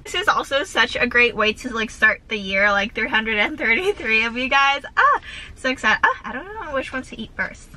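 A woman's voice: fairly high pitch, lively intonation, moderate pace, bright, forceful tone. A young woman chatting in a bubbly, giggly way, with lots of "like", while eating in a parked car. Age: young adult. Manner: bubbly, says "like" constantly, giggly. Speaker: vlogger. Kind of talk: casual chatty vlog with eating. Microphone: camera on dashboard facing her.

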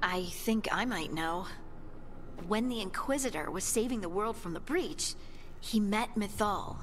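An actress playing a friendly, candid young scout in a video game, talking informally and with animation. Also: female voice, mid-range voice, unhurried, fairly bright tone, slight background noise, close to an everyday voice. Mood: earnest recounting. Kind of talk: game party dialogue.

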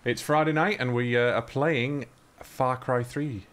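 A man's voice: mid-range voice, fairly even intonation, measured pace, fairly bright tone. A British man hosting a gaming livestream, chatting casually and swearing freely in a laid-back way. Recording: faint noise, game gunfire behind.

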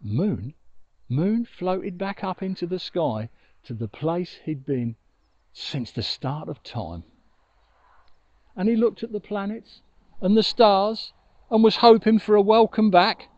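A middle-aged man tells a story in a very lively, swooping voice, slow and full of dramatic pauses. He speaks outdoors into a lapel mic, and the sound is dry and slightly dull. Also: a little high, dry recording. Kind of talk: expressive children's storytelling. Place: outdoors on an open riverbank. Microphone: lapel mic with furry windshield.

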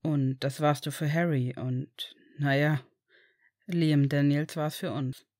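Lady doing a low hushed dad voice, calm and tender, super dry close sound. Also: no room sound. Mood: soothing. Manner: soft, soothing, slow and hushed. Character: gentle protective adult male father figure. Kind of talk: audiobook character voice.

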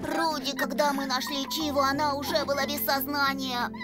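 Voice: high-pitched, little pitch movement, normal speed, over loud music, lightly acted. An actor in a children's cartoon dub voicing a brave, earnest young male animal who takes the lead. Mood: resolute. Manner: earnest, commanding, eager.

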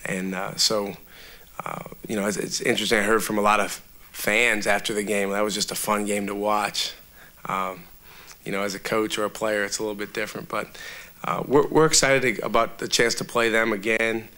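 A man in his thirties, a college basketball coach, answering reporters at a post-game press conference in a steady, measured way with many fillers, on a table microphone. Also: on the low side. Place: press room before a sponsor backdrop.

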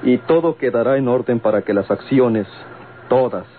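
An actor playing a courteous, composed gentleman with a Mexican accent and formal, measured manner in an old radio drama. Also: medium pitch, close to an everyday voice. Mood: deferential, businesslike. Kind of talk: golden-age Mexican radio drama acting. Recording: tinny, noisy old radio recording.

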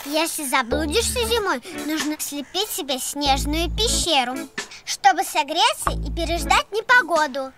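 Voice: high-pitched, expressive, normal speed. An actor voicing a chatty, helpful little piglet boy in a children's cartoon. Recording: music behind the voice.

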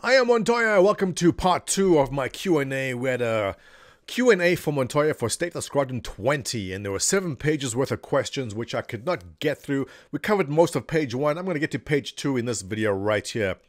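A man's voice: mid-range voice, brisk, no room sound, studio quality. A man in his thirties answering viewer questions in a casual, jokey way at his home desk, speaking into a desk microphone. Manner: animated, jokey, reads questions aloud. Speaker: gaming community leader answering viewer questions. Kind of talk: casual webcam Q&A. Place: home office, seated in gaming chair. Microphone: desk condenser mic in front of him.

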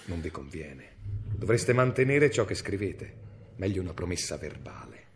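An actor in an Italian radio drama playing a cold, cunning medieval inquisitor with a measured, formal, severe delivery. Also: male voice, low-pitched, sing-song. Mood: cold, calculating. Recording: noisy radio drama recording.

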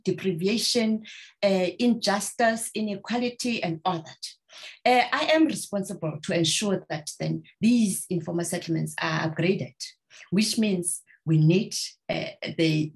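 A middle-aged woman with a South African accent, giving measured panel remarks on a video call, often pausing with fillers. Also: mid-range voice. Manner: measured, many filler pauses. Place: indoor room at home. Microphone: video call webcam.

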